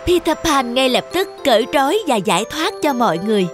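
High-voiced woman narrating a children's story. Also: big pitch swings, fairly quick, over music.

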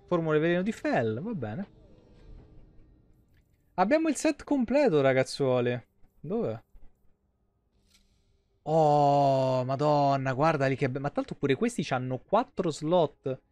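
A man's voice: a little high, animated, slow pace, pauses often, a little mellow, no room sound, faint music behind. A young man doing casual, slangy live game commentary with some swearing. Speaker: game streamer. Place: small indoor room, webcam stream.

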